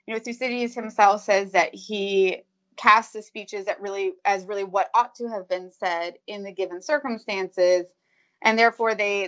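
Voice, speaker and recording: A woman translator answering interview questions in a measured, academic way, with frequent ums and restarts. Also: mid-range voice. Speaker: translator of ancient Greek.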